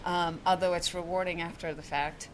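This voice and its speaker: A woman from Venezuela describing a spiritual experience in a slow, searching way with vivid imagery. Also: lowish voice, flat delivery, noisy background. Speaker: retreat guest. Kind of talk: reflective testimonial.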